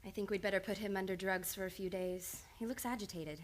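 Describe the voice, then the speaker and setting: A woman playing a young, earnest psychiatrist with formal, measured speech in an old-time radio play. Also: medium pitch, fairly even intonation, a little hiss or hum, close to an everyday voice. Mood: calm, clinical. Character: young, reserved, earnest female psychiatrist, precise and clinical.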